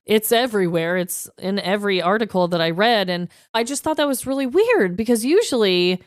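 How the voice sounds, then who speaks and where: A woman co-hosting a podcast in casual comedic chat, chatty and expressive in an informal way, close on the microphone. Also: mid-range voice, brisk, no room sound. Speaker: podcast co-host.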